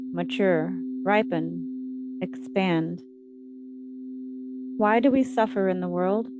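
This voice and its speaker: A woman with a fairly low voice leads a scripted guided meditation. She recites in short, measured phrases, like readings from scripture. A steady hum and clear noise sit behind her voice throughout. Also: low-quality recording.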